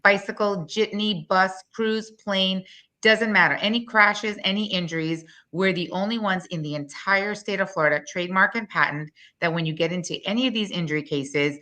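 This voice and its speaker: A woman's voice: medium pitch, sharp tone. A woman in her forties from Guyana with a Guyanese accent, a business owner being interviewed, talking bluntly and emphatically. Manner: blunt, fast-flowing, emphatic. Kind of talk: interview, promotional pitch.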